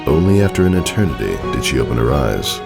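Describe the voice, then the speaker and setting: A man narrates fiction in a very low voice with a creaky edge. His delivery is measured and dramatic, moving expressively through the lines over background music. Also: very animated. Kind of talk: scripted story narration. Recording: music under the voice.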